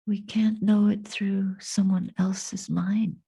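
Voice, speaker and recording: A woman giving a guided meditation and reflection as a Buddhist teacher, speaking softly and breathily in measured, contemplative phrases with long pauses. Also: mid-range voice, level tone. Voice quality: soft, breathy.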